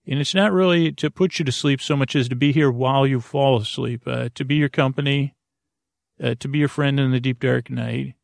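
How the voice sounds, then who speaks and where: Creaky-voiced man, hesitant and meandering. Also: medium pitch, fairly even intonation, fairly quick, dry recording, studio quality. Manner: meandering, hesitant, stumbling over words. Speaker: podcast host. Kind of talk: rambling sleep-podcast monologue.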